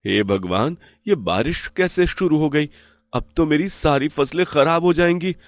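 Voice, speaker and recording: A man's voice: a little high, animated, a little slow, dry recording. A man playing an honest, poor village farmer who pleads and laments in a moral story. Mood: despairing.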